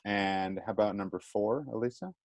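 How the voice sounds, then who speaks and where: A man's voice: on the low side, normal speed, a little mellow, no echo. A man teacher leading a small online class discussion, encouraging students and checking in often. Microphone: video call.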